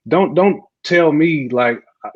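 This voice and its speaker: Dull-sounding, opinionated man on a podcast. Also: fairly high pitch. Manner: opinionated, colloquial. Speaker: podcast panelist. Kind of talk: casual panel discussion.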